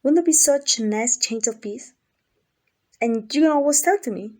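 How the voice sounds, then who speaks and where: A woman's voice: fairly high pitch, expressive, normal speed, darkish tone. A woman playing an obsessive, doting yandere kidnapper in an ASMR roleplay, gushing and coaxing with affection. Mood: earnest, reassuring. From Mexico.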